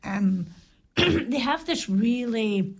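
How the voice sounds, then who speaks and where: A middle-aged woman talking as a guest on a community radio show, reflective and halting. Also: a little high, lively intonation, husky. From Ireland or Scotland. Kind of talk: casual radio chat. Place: radio studio.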